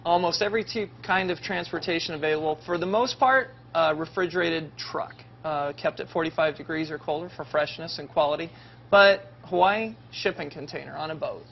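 A man's voice: on the high side, fairly quick, creaky, a little hiss or hum. A man in his forties, an egg farmer plainly explaining things to students in a slightly dull recording. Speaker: third-generation egg farmer.

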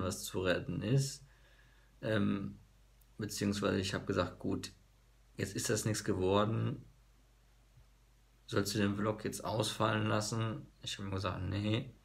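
A man in his thirties, hoarse, vlogging casually to a phone camera in a small room, halting with many fillers. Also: low voice. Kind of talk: casual vlog talk to camera. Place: small bedroom office indoors. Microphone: phone camera at arm's length.

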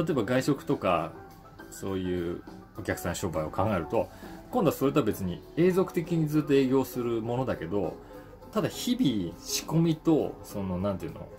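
A man in his forties chatting casually and reflectively to the camera, pausing to think as he goes. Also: lowish voice, a little mellow. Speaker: voice actor and stage actor, channel host. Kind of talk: casual solo talk to camera, daily column.

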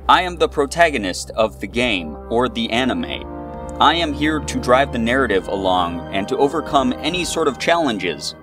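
A man's voice: mid-range voice, big pitch swings, moderate pace, music in the background, natural voice. A man voicing a generic, earnest anime protagonist in a stilted, formulaic manner. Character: generic earnest male anime hero, blandly determined.

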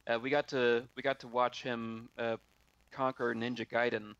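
A man casually telling a story on a video call, halting and often repeating his words. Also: mid-range voice, level tone, fairly bright tone, no room sound, muffled recording.

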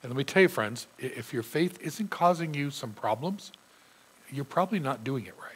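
A man's voice: mid-range voice, expressive, normal speed, a bit bright, breathy edge, low-quality recording. A middle-aged male pastor with a sore throat, preaching earnestly from a church pulpit. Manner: earnest preaching, reading scripture aloud with emphasis. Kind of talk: sermon. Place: church sanctuary.

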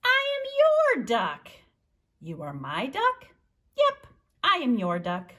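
A woman reading a picture book aloud, voicing a small eager duck and the other animals with bouncy jumps in pitch. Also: huge pitch swings, unhurried, bright, forceful tone, exaggerated voice. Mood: playful.

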